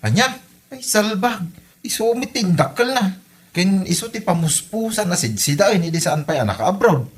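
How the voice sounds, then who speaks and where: A man's voice: high voice, lively intonation, moderate pace. A man playing an exasperated, embarrassed village husband in a comedy audio drama, full of indignant exclamations. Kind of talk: comedy radio drama acting.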